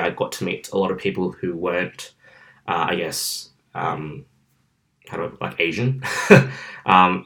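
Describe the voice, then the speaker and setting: A young Australian man hosts his own podcast from his bedroom. His voice sits very low but comes across bright and forceful. He talks casually and sociably, with plenty of ums along the way. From southwestern Sydney, Australia. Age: about 21. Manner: chatty and outgoing, many fillers. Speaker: podcast host. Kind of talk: casual solo podcast monologue. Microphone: camera, filmed.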